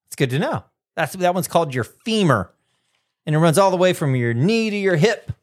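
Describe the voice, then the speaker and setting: A man's voice: sits high, big pitch swings, fairly quick. A man hosting a school radio show in a chatty, joking way.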